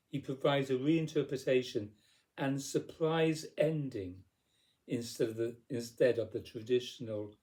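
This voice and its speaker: Male poetry narrator: unhurried, mellow, mid-pitched, theatrical storybook delivery. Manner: theatrical, deliberate phrasing. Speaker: poetry reader. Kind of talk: dramatic storybook verse narration.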